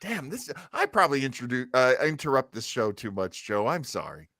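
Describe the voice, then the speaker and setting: A man's voice: mid-range voice, sing-song, brisk, bright, forceful tone, no echo. A man hosting a podcast, giving casual, irreverent comic commentary on old radio with a wry, joking manner. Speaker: podcast host.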